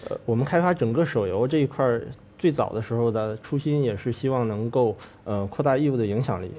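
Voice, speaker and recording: A man's voice: medium pitch, dark tone, a little hiss or hum. A man speaking as a guest game producer, giving an unscripted explanation in an interview.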